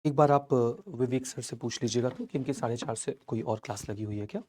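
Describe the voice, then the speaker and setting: A man with an Indian accent and a slightly hoarse throat, teaching a live online history class and reassuring his students as he goes. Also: mid-range voice, a little fast, a little mellow. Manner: reassuring, calls students "beta", mixes English into Hindi.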